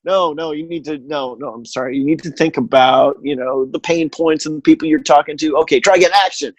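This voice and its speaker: A middle-aged man telling stories in a casual chat, animated and full of jokey asides. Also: a little high, a little fast, dry recording, rough recording. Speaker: guest. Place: living in Texas.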